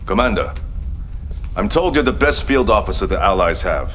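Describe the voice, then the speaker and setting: An actor playing a stern, dignified leader who speaks in a measured, formal way in a game cutscene briefing. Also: male voice, fairly low pitch, animated, a bit bright, muffled recording, a little hiss or hum. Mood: grave, resolute.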